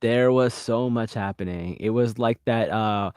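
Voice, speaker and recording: A man hosting a podcast in casual chat, his voice hoarse and raspy from a cold, joking and laughing between remarks. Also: lowish voice, a little slow, a little mellow, dry recording, clean studio sound. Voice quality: raspy, slight vocal fry. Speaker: podcast host.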